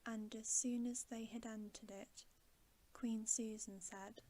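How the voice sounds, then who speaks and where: A woman reading a bedtime story in ASMR style, softly and often in a whisper, with gentle, deliberate phrasing. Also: fairly high pitch, level tone. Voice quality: soft, hushed, often whispered. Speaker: ASMR reader.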